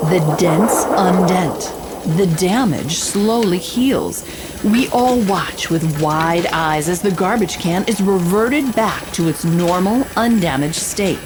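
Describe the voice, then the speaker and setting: An actress playing an investigative reporter, narrating a scripted audio drama with measured, descriptive storytelling. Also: female voice, lowish voice, expressive, background noise. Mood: measured, suspenseful. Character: female reporter narrating her investigative holocast.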